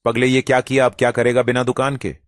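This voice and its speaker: A man's voice: medium pitch, monotone, quick pace, no echo, clean studio sound, close to an everyday voice. A man voicing a worried, caring elder brother. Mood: affectionate. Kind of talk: cartoon voice acting.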